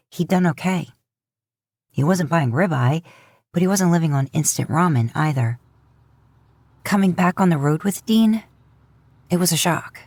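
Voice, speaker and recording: A woman narrating a fan fiction audiobook in a steady storytelling manner. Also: sits low, no room sound, clean studio sound.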